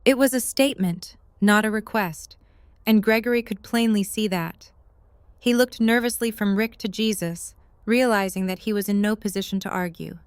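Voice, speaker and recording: A woman's voice: mid-range voice, moderate pace, clean tone, no echo. A woman reading scripted story narration for an audio drama.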